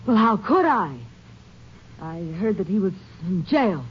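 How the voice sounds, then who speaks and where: A woman's voice: medium pitch, very animated, measured pace. An actress playing a nervous, evasive woman covering for a fugitive, in a 1950s radio drama. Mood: guarded. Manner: guarded, defensive. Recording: noisy dull old radio recording.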